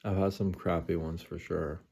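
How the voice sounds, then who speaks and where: A man's voice: sits low, little pitch movement, normal speed, vocal fry, warm tone, breathy edge. A man in his forties, hosting a live stream, talking casually and rambling late at night with many fillers and repeats. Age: 40s.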